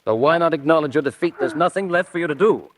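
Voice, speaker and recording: A man's voice: a little high, expressive, quick pace. Determined man confronting spies. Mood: firm, accusing. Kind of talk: vintage radio drama acting.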